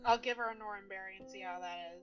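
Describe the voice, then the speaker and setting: A woman joins a casual tabletop roleplay as one of the players. Her voice sits at a middle pitch, with faint music playing behind it. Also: fast, fairly bright tone, muffled recording.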